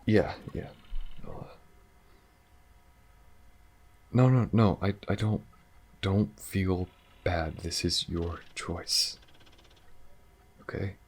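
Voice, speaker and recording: A man playing a gentle, wistful alien lover in an intimate ASMR roleplay, speaking softly and hesitantly. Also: sits low, heavily acted. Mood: tender, reassuring. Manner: soft, hesitant, breathy pauses and sighs. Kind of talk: ASMR audio roleplay, intimate monologue to the listener.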